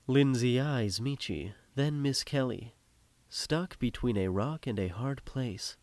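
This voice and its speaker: A man's voice: mid-range voice, animated, takes it slowly, darkish tone, no echo. A man narrating a scripted audio drama in a wry, teasing manner.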